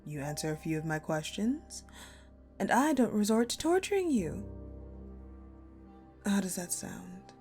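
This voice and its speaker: A woman playing an imperious, sadistic ice-queen general in an audio roleplay, speaking in a haughty, taunting, deliberate way that often slips into a breathy murmur. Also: medium pitch, big pitch swings, a little mellow, soft background music. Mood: cold, toying menace. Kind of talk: dramatic audio roleplay acting.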